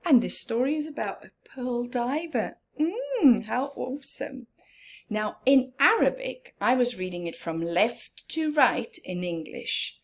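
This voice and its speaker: A woman hosting a children's story time, reading aloud and pausing to explain things simply and ask young listeners questions. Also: on the high side, big pitch swings.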